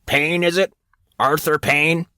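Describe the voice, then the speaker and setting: A man acts out a bossy male football coach from a storybook. He is gruff and sarcastic, handing out orders with a mocking bark. The sound is close and dry. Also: fairly high pitch, little pitch movement, slow pace, bright, forceful tone, no echo, pitched above the performer's own voice. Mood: sizing up. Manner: barking, sarcastic commands. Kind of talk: audiobook character acting.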